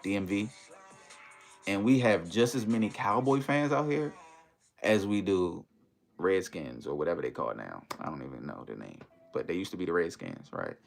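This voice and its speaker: A man's voice: deep voice, sing-song, moderate pace, creaky, faint music behind. An American man from the Maryland and Washington DC area hosting a casual livestream, chatting to viewers in slangy, conversational phrases. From United States, Maryland and Washington DC area. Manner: conversational, uses slang and tag phrases like "you know".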